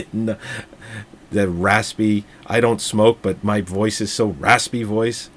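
A man's voice: fairly low pitch, steady tone, takes it slowly. A man co-hosting a casual movie podcast, joking crudely and laughing often as he chats about films. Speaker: podcast co-host.

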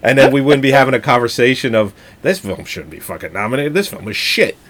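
A man's voice: medium pitch, lively intonation, fast talker, very noisy. A man co-hosting a casual movie podcast, joking crudely and laughing often as he chats about films. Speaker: podcast co-host.

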